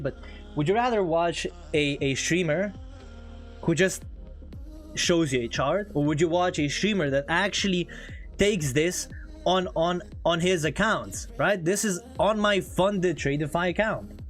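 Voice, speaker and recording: A man's voice: fairly high pitch, normal speed. A man with English as his second language, chatting casually on a live trading stream, laughing often and reading chat aloud. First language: not English. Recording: faint music behind.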